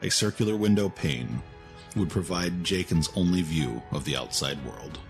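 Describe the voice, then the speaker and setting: A man's voice: sits low, expressive, moderate pace, vocal fry. A man narrating a dramatic audio story from a script. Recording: music behind the voice.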